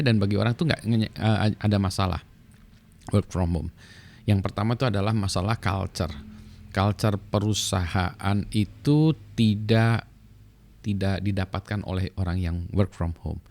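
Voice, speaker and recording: A middle-aged man with an Indonesian accent, talking casually and explaining at an easy pace, with frequent fillers. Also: low-pitched. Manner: relaxed, many fillers and tag "ya". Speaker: vlogger sharing work experience.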